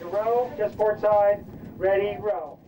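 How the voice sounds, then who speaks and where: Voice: mid-range voice, steady tone, takes it slowly, warm tone. A rowing caller giving short, commanding orders and counts to a crew, outdoors aboard a ship. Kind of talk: calling out commands and counts to rowers. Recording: distant voice, noisy wind and boat.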